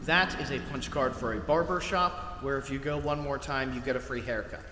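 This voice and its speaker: A man's voice: medium pitch, fairly quick, close to an everyday voice. An actor playing an anxious, literal-minded ordinary man in a comedy sketch. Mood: incredulous. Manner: worried, literal-minded, incredulous. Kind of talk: comedy audio sketch acting. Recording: echoey cave reverb with faint noise.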